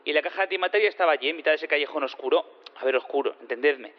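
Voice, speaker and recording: An actor in an audio drama playing an eager space physicist on the witness stand, rambling, hesitant and repeating himself. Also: male voice, fairly high pitch, racing, natural voice. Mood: rambling, apologetic. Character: rambling, eager male space physicist who teleports things. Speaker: witness in a courtroom. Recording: dull lo-fi sound in long reverb.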